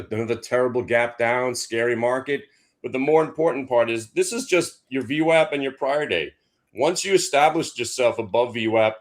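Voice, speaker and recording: A man giving casual market chart commentary in an emphatic, instructive way, like a trading coach. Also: medium pitch. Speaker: trading educator.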